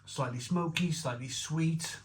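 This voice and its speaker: A man's voice: a little high, unhurried, a little hiss or hum. A man from Wales, giving a chatty, rambling beer review to camera with frequent restarts and asides.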